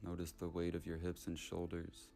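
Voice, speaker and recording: A man leading a guided meditation in short, unhurried, soothing phrases with long gaps between them. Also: deep voice, completely flat delivery, gravelly, mellow.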